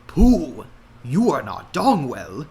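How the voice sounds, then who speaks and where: A calm martial arts master acted out by a man in a comic story reading. He speaks slowly and quietly, with measured, instructive phrasing. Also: on the high side, breathy edge, exaggerated voice. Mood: disapproving. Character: calm male kung fu master and trainer.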